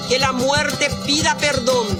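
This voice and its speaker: A woman's voice: high-pitched, steady tone, slow. A woman reciting spoken-word poetry as a solemn proclamation. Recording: voice over loud music. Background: loud music.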